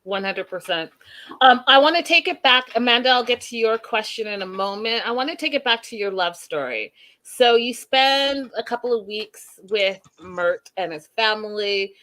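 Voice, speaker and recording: A woman host with a deeper voice, interviewing a guest in a warm, affirming way.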